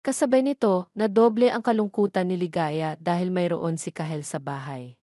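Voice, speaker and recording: A woman's voice: on the low side, moderate pace, mellow, dry recording, clean studio sound. A woman reading scripted narration of a romance drama as a storyteller.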